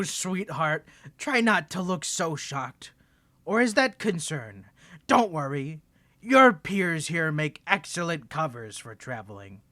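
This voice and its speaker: Man acting a bright, menacing male vampire villain. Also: fairly high pitch, no echo, exaggerated voice. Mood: menacing, gloating. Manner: theatrical, coaxing, condescending with pet names. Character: insane male vampire villain, seductive, menacing, toying with prey. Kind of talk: roleplay audio drama, intimate direct address to listener.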